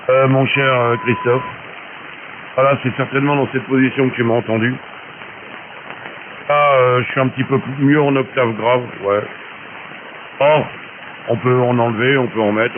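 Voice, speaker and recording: A narrow, phone-like shortwave signal with clear hiss carries a man's voice. He is a ham operator in relaxed, informal conversation with other operators, his pitch ordinary for a man. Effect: shortwave radio transmission. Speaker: amateur radio operator. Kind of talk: casual chat over ham radio.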